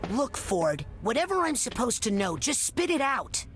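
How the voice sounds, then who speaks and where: Cartoon game hero: plucky young boy, high voice, lively intonation, earnest and full of urgent questions, noisy ambience behind. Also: normal speed, slightly rough, soft background music, heavily acted. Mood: insistent. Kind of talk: cartoon video game acting.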